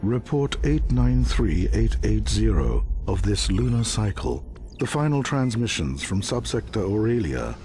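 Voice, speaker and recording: An actor playing a stern military official who reads a formal archive report in a clipped, grim manner for a game. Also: male voice, fairly low pitch, a little mellow, a little hiss or hum. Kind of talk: formal scripted report narration.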